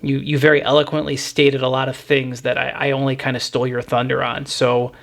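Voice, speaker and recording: A man's voice: mid-range voice, completely flat delivery, fairly quick, creaky, a bit bright. A man hosting a casual music discussion, talking in a rambling, opinionated way. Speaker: music podcast host.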